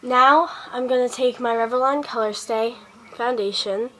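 A teenage girl with a slightly high voice, stuffy and sniffly from a cold, chatting her way through a makeup routine. She speaks close to a small device mic in her bedroom, with a faint hiss of noise behind her. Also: steady tone, a little slow, pushed and bright, poor audio. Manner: chatty, step-by-step, talking while applying makeup. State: sick and sniffly. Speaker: beauty vlogger. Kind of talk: casual makeup tutorial. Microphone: handheld device camera close up.

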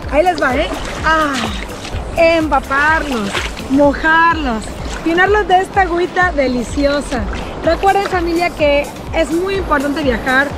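A young woman vlogging on a sunny beach and in the shallow sea, talking excitedly to her viewers through an action camera held at arm's length. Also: high voice. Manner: enthusiastic, addresses viewers as family. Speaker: travel vlogger. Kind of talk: upbeat travel vlog to camera.

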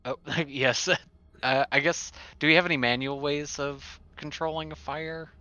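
Bright-voiced, slow-talking man on lo-fi mic. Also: on the high side, steady tone, smooth, no room sound. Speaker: player. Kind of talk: casual tabletop game chat. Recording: dull lo-fi mic, background noise.

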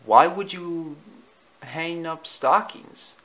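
A man's voice: a little high, expressive, normal speed, fairly bright tone. Man voicing an easygoing, puzzled male dog. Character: puzzled, easygoing adult male stray-turned-pet dog.

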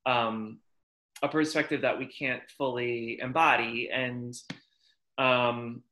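A man's voice: mid-range voice, steady tone, slow pace, bright, forceful tone, rough recording. A man in a workshop video call, thinking aloud in a rambling, hesitant way with many fillers. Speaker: workshop participant. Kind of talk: casual discussion on a video call.

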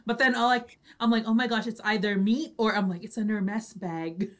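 A woman's voice: mid-range voice, steady tone, normal speed, a bit bright, a little hiss or hum. A woman hosting an online radio show, chatting casually and expressively in exclamations to her audience over a video call. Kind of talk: lively casual reaction chat.

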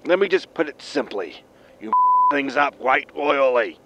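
An actor playing a bitter, ailing future spaceship captain, with a wheezy smoker's voice and a scornful, lecturing manner, in a science fiction comedy audio drama. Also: male voice, medium pitch, expressive, unhurried, heavy background noise, close to an everyday voice. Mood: stern, lecturing. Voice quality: wheezy smoker's lungs, coughing.

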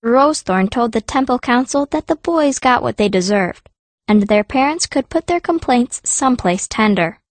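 Female audiobook narrator, higher register, fierce young heroine telling her story, very dry sound. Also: lively intonation, moderate pace, dry recording. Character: fierce, stubborn girl stone mage telling her story. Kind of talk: first-person scripted narration.

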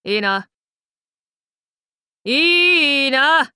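A woman voicing a brash, rough but caring tomboy warrior in an anime game, with blunt, cocky, masculine speech. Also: high voice, lively intonation, drawn-out words, sharp tone, dry recording, studio quality, full character voice. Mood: casual, bossy. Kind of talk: Japanese anime game character lines.

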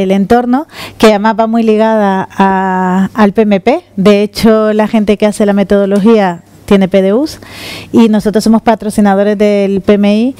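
A middle-aged woman from the Canary Islands giving a lively conference talk on stage with a handheld mic. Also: mid-range voice, smooth, dry recording. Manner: lively, gesturing while walking on stage. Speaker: conference speaker. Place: conference room with audience. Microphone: handheld microphone.

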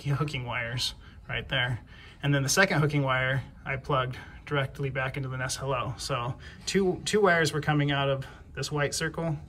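Male DIY homeowner, medium pitch, halting informal tutorial delivery, outdoor recording with background ambience. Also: fairly bright tone, a little hiss or hum. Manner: halting, repeats words while explaining. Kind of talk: casual hands-on tutorial. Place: outdoors by a house front door.

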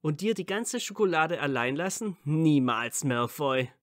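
A man's voice: fairly high pitch, animated, unhurried, a bit bright, no echo, studio quality. A man playing a tired, teasing, easygoing young wizard student in a fan fiction audio drama, casual and playful.

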